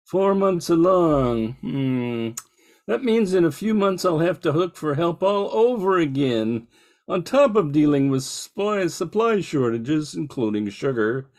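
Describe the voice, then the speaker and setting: A man's voice: fairly high pitch, sing-song, measured pace, a little mellow. A man playing a harried, polite small-town bakery owner in an old-time radio drama, earnest and hesitant. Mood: worried, reluctant. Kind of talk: 1940s radio drama acting.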